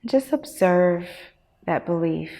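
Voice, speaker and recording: A woman teacher guiding a meditation, giving measured instructions with long pauses. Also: on the low side, animated, mellow. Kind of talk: guided meditation. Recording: long hall-like reverb.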